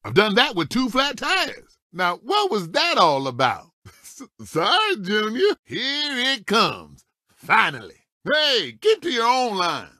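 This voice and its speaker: A folksy old race car, a cocky veteran of the track in an animated video game. His voice is high and forceful, swinging wildly up and down through short, exclamatory lines. The sound is dry and close. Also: slow pace, dry recording, exaggerated voice. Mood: folksy, spirited.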